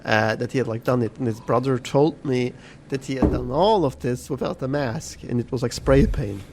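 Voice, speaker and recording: A man's voice: mid-range voice, moderate pace, noisy background. A man co-hosting a casual podcast chat, telling a rambling story full of "like" and swearing. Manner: rambling, swears often, heavy use of "like". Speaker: podcast co-host.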